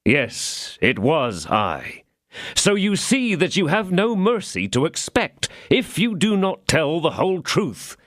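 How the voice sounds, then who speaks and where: A man's voice: on the high side, sing-song, slow pace, fairly bright tone, no echo, clean studio sound, voice pushed up, exaggerated voice. A man voicing a stern, threatening young swordsman who demands answers.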